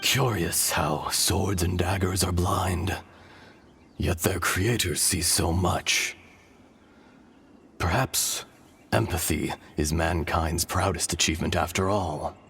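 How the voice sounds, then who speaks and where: An actor playing an ancient, weary dragon spirit inside a young man's body, speaking in a solemn, measured, hushed way, with game music behind. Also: deep voice, steady tone, gravelly, husky, full character voice. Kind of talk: video game voice acting. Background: orchestral game music.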